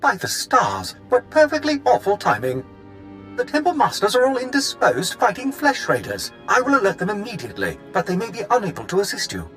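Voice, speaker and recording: Prim high-pitched archivist droid. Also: male voice, wildly swooping pitch, brisk, fairly bright tone, soft background music, full character voice. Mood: flustered. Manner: formal, fussy, theatrical. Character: prim, fussy, polite male archivist droid. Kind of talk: video game cutscene acting.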